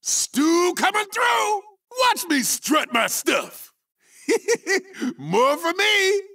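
A man voicing an excitable, boastful chicken sportscaster for a video game, hammy and shouting, with a gravelly voice. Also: very high-pitched, very slow, recorded from a distance, full character voice. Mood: boastful, excited. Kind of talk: exaggerated video game character barks.

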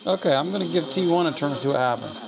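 A man's voice: fairly high pitch, animated, rapid-fire, gravelly, heavy background noise. A man hosting a hobby video, giving a casual hands-on demonstration and explaining things, often by asking and answering his own questions. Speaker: host of an electronics hobby channel.

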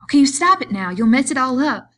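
A woman's voice: mid-range voice, measured pace, close to an everyday voice. Woman acting a vain, chatty young farm wife. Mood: angry, alarmed. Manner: complacent, casual folksy talk. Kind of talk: acted audiobook dialogue.

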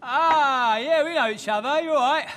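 Rowdy british rave dj for a game, really high bright voice, blokey slang. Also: male voice, normal speed, long reverb tail. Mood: boastful, buzzed. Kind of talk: video game cutscene acting.

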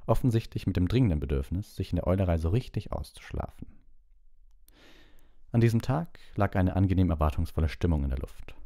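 Casting: male audiobook narrator, deep pitch, soft dark timbre, scripted storytelling. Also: animated, fairly quick, dry recording, studio quality.

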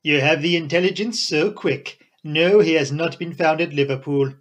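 Guy reading does a genial fussy detective, florid formal wording, pitched up and husky. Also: moderate pace, close to an everyday voice. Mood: genial, teasing. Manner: florid formal foreign-flavoured phrasing. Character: fussy foreign male detective, genial and sly. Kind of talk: audiobook character voice.

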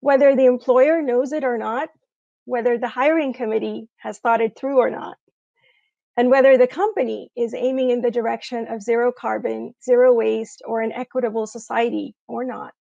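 A middle-aged woman delivers a prepared inspirational address in a measured, earnest manner. Her voice sits on the high side and often dips into fry. The sound is a little dull and lo-fi, as if from an earphone mic on a video call. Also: dark tone, no room sound. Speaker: commencement keynote speaker, graduate of the school. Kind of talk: prepared inspirational commencement speech. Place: small room indoors, remote video recording. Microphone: wired earphones headset mic.

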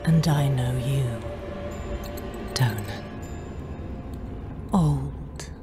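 A seductive, menacing demon queen from a video game, speaks in a deep, breathy whisper. She takes her time with every taunt, cold and ancient, over prominent music. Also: expressive, heavily acted. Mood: cold mockery. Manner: slow, deliberate, taunting. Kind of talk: video game cutscene acting.

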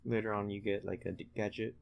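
Low, creaky, flat-voiced young man gaming. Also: dull audio. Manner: halting, low-key, distracted while concentrating. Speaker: gamer playing and commentating. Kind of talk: casual let's-play commentary.

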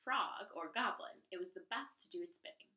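A woman voicing a gentle, mistreated young girl in a storybook, speaking softly and pleading. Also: on the low side, animated, fairly quick. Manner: soft, pleading. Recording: roomy sound, mic at a distance.

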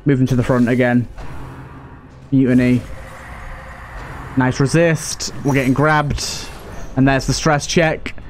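A man's voice: mid-range voice, flat delivery, takes it slowly, pauses often, faint music behind. A man hosting a gameplay video, thinking aloud in short remarks as he gives casual commentary. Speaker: gameplay host.

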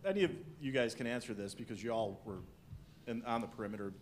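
A man's voice: medium pitch, fast talker, slight background noise. A male sports reporter asking questions at a press conference, conversational and a bit searching for words.

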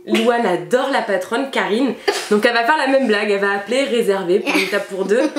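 A young woman chatting casually and playfully in an animated way for a family prank video. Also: medium pitch, brisk. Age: young adult. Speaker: vlogger and mother. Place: home kitchen, indoors. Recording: echoey room, noticeable hiss.

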